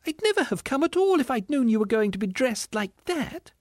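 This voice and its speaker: Man putting on a shrill, squealing female ghost. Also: big pitch swings, brisk, no room sound, clean studio sound. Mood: exasperated. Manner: whining, indignant, self-conscious. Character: panicky, vain female ghost in shabby finery. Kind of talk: audiobook character voice.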